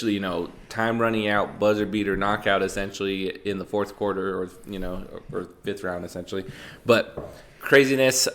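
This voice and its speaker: A man co-hosting a podcast, chatting casually and reacting excitedly while watching a fight, with a slightly nasal voice. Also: on the low side, slight background noise. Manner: excitable, swings into loud reactions. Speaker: podcast co-host.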